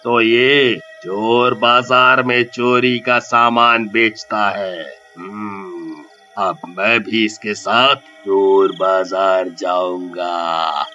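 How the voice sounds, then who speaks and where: A man doing a sly, moralizing ghost in an animated storybook, with a low, bright, edgy voice. He muses at a very slow pace and chuckles to himself, with faint music behind. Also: muffled recording, exaggerated voice. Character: male ghost, sly and moralizing, roaming the market.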